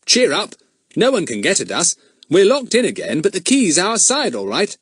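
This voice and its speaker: A man's voice: sits high, sing-song, no room sound, pitched above the performer's own voice. A man doing a plucky, cheerful schoolboy. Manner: brisk, cheerful, polite to adults.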